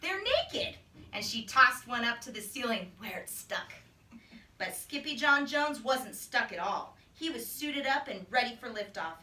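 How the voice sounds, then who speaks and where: A woman's voice: a little high, animated, moderate pace, sharp tone. A woman, a teacher, narrating a storybook in a children's read-aloud style. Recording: roomy, distant microphone.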